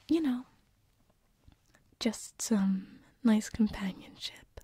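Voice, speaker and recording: A woman voicing a gentle, lovesick succubus sleep paralysis demon in a whispered ASMR roleplay, soft, hesitant and tender, close to a binaural microphone. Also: fairly high pitch, no room sound, exaggerated voice. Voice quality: breathy whisper. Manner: soft, hesitant, tender, with breathy giggles and sighs.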